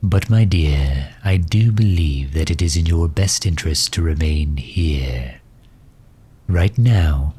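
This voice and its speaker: A man playing a courtly megalomaniac villain in an ASMR audio drama, speaking formally and with measured, gentle solicitude. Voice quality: husky, low. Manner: formal, measured, silky endearments.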